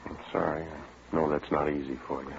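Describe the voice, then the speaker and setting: A man's voice: very deep voice, a little fast, vocal fry, slight background noise. A man playing a stoic American police sergeant, terse and matter-of-fact, in an old-time radio police procedural. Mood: somber, stoic. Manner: deadpan, clipped, terse. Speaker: detective narrator. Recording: muffled vintage radio recording, music behind.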